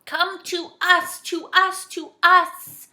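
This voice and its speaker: Woman as an eager, emphatic young boy, bright-voiced. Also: a little high, big pitch swings, slow, heavily acted. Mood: despairing. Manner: emphatic, calling out. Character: eager, bossy young boy adventurer, loud and exasperated. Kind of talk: children's storybook character voice.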